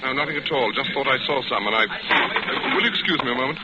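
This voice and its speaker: An actor playing a suave, secretive gentleman traveler in a 1940s radio suspense drama, speaking in a smooth, evasive way. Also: medium pitch, lively intonation, quick pace, very noisy, close to an everyday voice. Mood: uneasy. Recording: muffled vintage radio recording with music.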